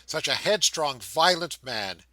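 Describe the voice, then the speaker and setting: A fond young bride-to-be, teasing her sweetheart, as a male narrator voices her. The tone is bright with lively turns of pitch. Close and dry, like a book read aloud. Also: unhurried, no echo, full character voice.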